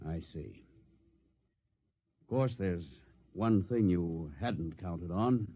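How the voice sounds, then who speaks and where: An actor playing an embattled starship captain in a 1950s radio drama, speaking with dramatic urgency. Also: male voice, deep voice, slow pace, warm tone, slightly rough, exaggerated voice. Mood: grimly controlled. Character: embattled male starship captain, defiant and anguished. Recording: noisy vintage 1950s radio recording.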